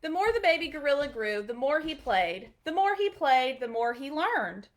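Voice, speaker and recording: High-pitched woman reading a children's story. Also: very animated, moderate pace. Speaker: library storytime host reading aloud. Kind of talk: read-aloud children's storybook with brief chatty asides.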